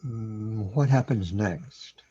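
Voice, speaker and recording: A man playing a confused, paranoid, brooding man who lives inside a computer, with a husky voice, in an audio drama. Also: fairly low pitch, slow pace, dark tone, dry recording. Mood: confused, defensive. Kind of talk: audio drama monologue and dialogue. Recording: dull, lo-fi, dry close recording.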